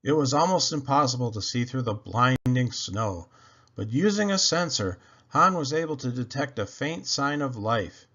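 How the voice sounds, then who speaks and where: A man's voice: mid-range voice, expressive, normal speed, fairly bright tone. A man reading a children's adventure story aloud with dramatic emphasis on key phrases. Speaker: storybook reader. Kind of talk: scripted read-aloud storytelling. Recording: dull, lo-fi sound.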